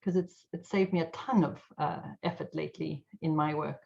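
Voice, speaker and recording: Middle-aged woman, lively, slightly muffled. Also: low-pitched, normal speed, vocal fry. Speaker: IT consultant and AI guest speaker. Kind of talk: casual webinar talk. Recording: slightly dull lo-fi audio.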